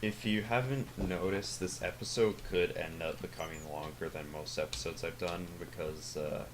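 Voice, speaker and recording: Teen male gamer, deep low voice, rambling fillers, noisy home recording. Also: fairly even intonation, normal speed. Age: teens. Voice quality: deep, sounds older than his age. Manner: rambling, hesitant, lots of fillers and self-corrections. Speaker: gaming channel host. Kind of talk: casual gameplay commentary.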